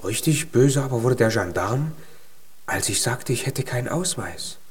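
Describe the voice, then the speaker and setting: A man's voice: mid-range voice, expressive, moderate pace, breathy edge. An actor in a children's radio play, playing a tiny male giant who is naive, earnest and full of hopeful pluck.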